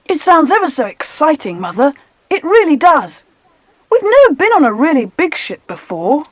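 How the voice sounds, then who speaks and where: A woman's voice: high voice, sing-song, normal speed, no echo. A woman playing a keen, polite schoolboy with an eager, boyish manner in a children's audiobook drama. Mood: excited.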